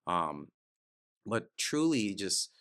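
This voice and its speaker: A man in his thirties from Guyana, a realtor guest telling his story in a casual podcast chat, upbeat and energetic with frequent laughs. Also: lowish voice, drawn-out words, smooth. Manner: upbeat and energetic, laughs often, loose rambling phrasing.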